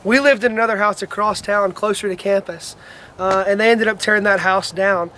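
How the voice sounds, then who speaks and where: A man's voice: high voice, steady tone, fairly quick, pushed and bright, a little hiss or hum. A man, giving a house tour in a casual video submission. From Alabama. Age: 20s. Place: outdoors and in a house.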